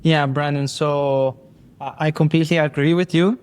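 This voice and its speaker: Italian man with a higher, hesitant voice. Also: steady tone, normal speed, a little mellow, clean tone. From Italy. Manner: hesitant, many fillers and repeated words. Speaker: guest, software engineer. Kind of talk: casual interview talk.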